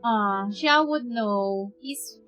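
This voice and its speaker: Female game streamer, Filipino accent: high voice, casual bilingual chatter and reactions over soft game music. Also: extremely slow. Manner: chatty, reactive, switches between two languages. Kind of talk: casual live gameplay commentary.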